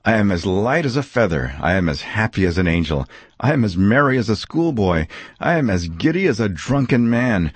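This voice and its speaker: A man voicing an elderly miser, overjoyed and giddy, with excited, breathless exclamations. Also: deep voice, moderate pace, no echo.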